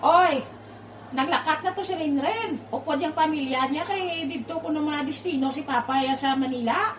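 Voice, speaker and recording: A woman in an amateur audio drama, speaking in an excited, exclaiming way. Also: high voice, normal speed, roomy sound, far from the mic, a little hiss or hum.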